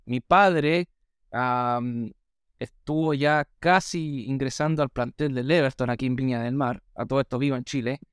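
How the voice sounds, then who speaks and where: A man born in Australia whose first language is English, hosting a podcast and telling stories in a lively way with many fillers. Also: medium pitch, moderate pace, smooth, no echo, clean studio sound. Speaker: podcast host. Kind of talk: casual chat.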